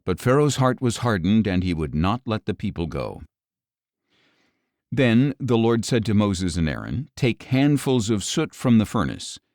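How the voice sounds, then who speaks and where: A man reading scripture as an audiobook narrator in a measured, solemn manner. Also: low voice, a little mellow, no room sound, clean studio sound.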